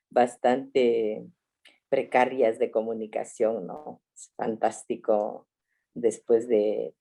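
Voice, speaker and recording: A woman in her sixties, a family doctor from Ecuador, telling her story reflectively with soft laughs over a video call. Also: rumbling low, creaky. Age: 60s.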